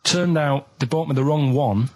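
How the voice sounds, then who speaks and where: A young man, telling a long story on a radio show in halting, rambling sentences full of restarts. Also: on the high side. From England. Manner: rambling, halting, plain-spoken anecdotes with many restarts.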